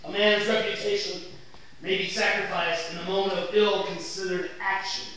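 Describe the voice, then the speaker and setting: An actor playing an impassioned lawyer pleading to a jury in grandiloquent, declamatory stage oratory. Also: male voice, sits high, fairly even intonation, moderate pace, sharp tone. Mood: solemn. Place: indoor theatre stage. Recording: echoey theatre, distant mic, faint noise.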